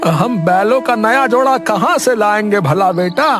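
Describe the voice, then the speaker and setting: A man's voice: high voice, animated, measured pace, fairly bright tone, faint music behind. A man voicing a poor, humble old village farmer in a comedy cartoon, folksy and plaintive. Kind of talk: comedy cartoon story acting.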